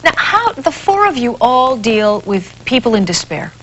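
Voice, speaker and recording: A woman's voice: a little high, sing-song, measured pace, fairly bright tone, dull audio. A woman hosting a radio call-in show, interviewing guests and taking calls in a conversational way. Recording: faint hiss, old broadcast tape.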